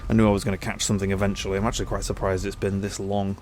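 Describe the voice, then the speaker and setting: A man's voice: sits low, level tone, racing, darkish tone, a little hiss or hum. A young man with a stuffy nose from a cold, chatting easygoingly as he plays a game and thinks aloud. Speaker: gaming channel host. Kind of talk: casual gameplay commentary.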